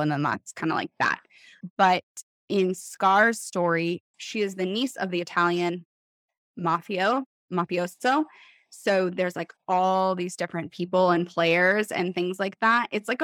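A woman author in casual podcast chat, telling things in an animated way with lots of "like" and acted-out quotes. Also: lowish voice, no room sound, clean studio sound. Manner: animated storytelling, acts out imagined quotes, heavy use of "like". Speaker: author guest on a book podcast.

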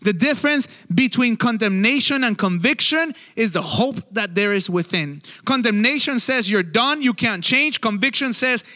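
A young male pastor with a high, bright, ringing voice preaches in a conversational way, dropping quick asides. His voice carries a long hall reverb over soft music behind him. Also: expressive. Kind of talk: sermon.

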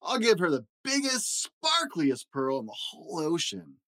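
A man's voice: a little high, wildly swooping pitch, a little slow, a bit bright, no echo, pitched above the performer's own voice, exaggerated voice. A man voicing a big scary shark who is bossy and soppy in love, in a children's storybook. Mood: bragging, threatening.

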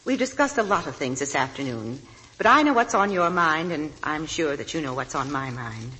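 Low-voiced genteel mother, swooping intonation. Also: expressive, pushed and bright. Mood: politely probing. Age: middle-aged. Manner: gracious, deliberate, hesitant when uneasy. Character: genteel, blunt, anxiously devoted mother of grown son. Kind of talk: 1940s radio drama acting. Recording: noisy vintage 1940s radio broadcast.

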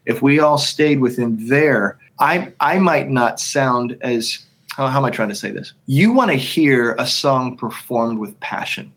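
A man's voice: mid-range voice, measured pace, fairly bright tone, slightly rough. Rambling man musing about worship songs. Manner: thinks aloud with many restarts, sings snippets to illustrate. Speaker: worship songwriter and leader. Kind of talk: podcast conversation.

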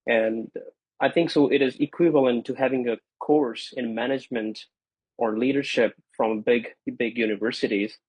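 A man in his twenties whose first language is Gujarati, a podcast guest giving earnest, grateful answers with frequent pauses and repeats. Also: medium pitch, fairly even intonation, darkish tone, breathy edge, dry recording, rough recording. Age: 20s. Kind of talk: conversational interview answers.

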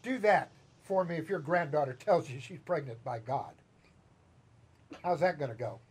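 A man's voice: a little high, expressive, normal speed, slightly rough. A man from Chicago with a Chicago accent, preaching a sermon as pastor in a conversational, teasing way and asking the congregation questions. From Chicago, Midwest US. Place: church sanctuary.

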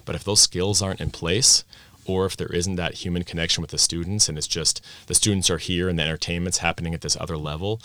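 Give me a very deep-voiced language teacher from Austria, speaking reflectively in a dry, close recording. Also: male voice, fairly even intonation, fast talker, a bit bright, dry recording. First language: English and German bilingual. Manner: reflective, many restarts and self-corrections. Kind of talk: conversational podcast interview.

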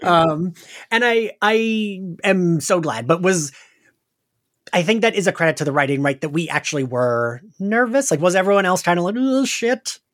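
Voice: mid-range voice, lively intonation, normal speed, a bit bright. A podcast host talking casually and conversationally, peppering sentences with "like". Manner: conversational, heavy use of "like".

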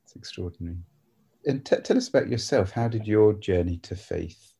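Mellow, husky-voiced male podcast host. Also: on the low side, lively intonation, moderate pace. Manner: earnest, encouraging questioning. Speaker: podcast host interviewing a church member. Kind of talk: church podcast interview.

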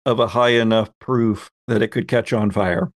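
A man acting as game master, narrating a tabletop story off the cuff with measured, hesitant pauses. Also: on the low side, fairly even intonation, no room sound, clean studio sound.

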